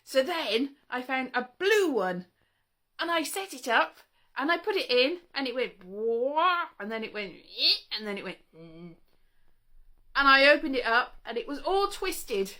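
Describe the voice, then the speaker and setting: A woman with a high-pitched, bright voice speaks in an informal vlog monologue. She is chatty and wry, wandering from one thought to the next and cutting herself off as she goes. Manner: chatty, wry, self-interrupting. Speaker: vlogger showing old interview tapes. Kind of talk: casual rambling monologue to camera.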